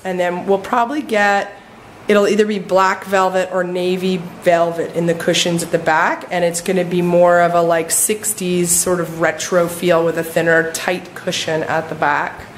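A woman's voice: lowish voice, flat delivery, normal speed, a little hiss or hum. A woman in her thirties chatting casually as she walks through her house, filming on a handheld phone and using lots of fillers. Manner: chatty, upbeat, lots of fillers like "like" and "you know". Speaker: vlogger giving a home tour. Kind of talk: casual house tour walkthrough. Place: house with open patio in a warm climate. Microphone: handheld phone camera, often at arm's length.

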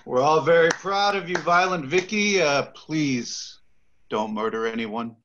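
A man reading a prison guard at a table read, with a laid-back deadpan delivery. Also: on the high side, a little slow, dull audio, noisy background.

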